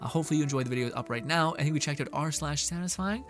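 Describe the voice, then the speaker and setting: A man's voice: a little high, very fast. Rambling male streamer, faint music behind. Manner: rambling, many restarts and fillers. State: slightly hoarse. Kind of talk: casual stream chat, reading chat.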